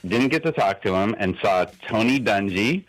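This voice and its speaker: A man's voice: fairly low pitch, animated, moderate pace, faint music behind, low-quality recording. A man calling in to a radio show for a casual chat, laid-back and dryly joking.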